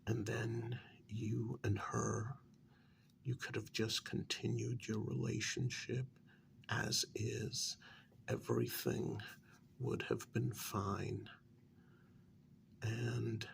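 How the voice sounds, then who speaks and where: Male vampire mediator, acted by a man, ASMR role-play: reproachful, breathy and half-whispered, heavy creak, faint hiss behind. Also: mid-range voice. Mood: exasperated, chiding. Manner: measured, halting, chiding.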